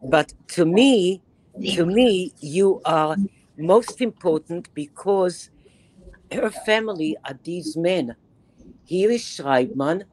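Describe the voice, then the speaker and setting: A woman in her eighties from Israel with a Bronx accent, lecturing a reading group over a video call in an animated, emphatic way, repeating phrases for effect. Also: on the low side, slow pace, poor audio. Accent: Bronx New York. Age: 80s. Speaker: guest lecturer. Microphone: webcam on video call, close to the face.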